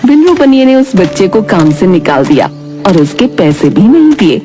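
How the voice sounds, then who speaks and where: A woman's voice: mid-range voice, big pitch swings, normal speed. A woman giving scripted narration for a children's moral cartoon story. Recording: music behind the voice.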